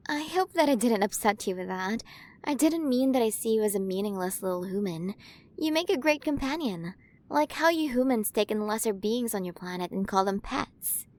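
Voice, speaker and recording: A woman voicing an ancient alien queen in an ASMR roleplay, curious and playful, gently condescending and possessive toward her human. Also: on the high side, lively intonation, normal speed, natural voice. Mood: gently explaining. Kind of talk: ASMR roleplay, acted monologue to the listener.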